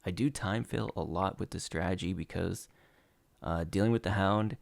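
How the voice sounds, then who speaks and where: A young man talking over his game replays in a relaxed play-by-play, losing his voice and filling gaps with "uh". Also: sits low, moderate pace, creaky, no room sound. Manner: relaxed play-by-play with frequent uh fillers. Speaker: gaming channel host. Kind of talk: commentating over gameplay replays.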